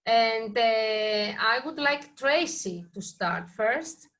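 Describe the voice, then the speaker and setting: Female group host, high-pitched and bright, casual discussion on a video call. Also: lively intonation, extremely slow. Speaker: host of an online poetry reading group. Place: online video meeting.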